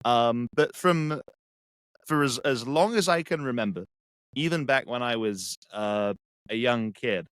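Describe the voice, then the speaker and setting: A man from England with an English accent, a guest speaking reflectively and haltingly with many restarts. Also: medium pitch, fairly bright tone, clear, resonant tone, no room sound. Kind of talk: casual conversation.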